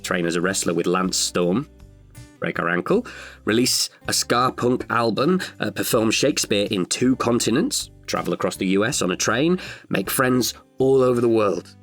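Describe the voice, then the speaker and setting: A man's voice: on the low side, expressive, normal speed, fairly bright tone, faint music behind. A man in his thirties performing an intimate, chatty monologue as an older, wiser self tenderly addressing his past self. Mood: wry, fond. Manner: chatty, wry, heartfelt. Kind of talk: intimate confessional audio monologue.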